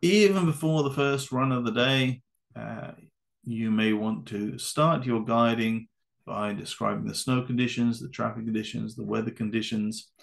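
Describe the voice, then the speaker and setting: A man narrating a slide-based training lecture for volunteer ski guides in a measured, instructive way. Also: mid-range voice, gravelly. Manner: measured, instructive, with occasional fillers. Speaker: adaptive ski course presenter. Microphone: voice-over.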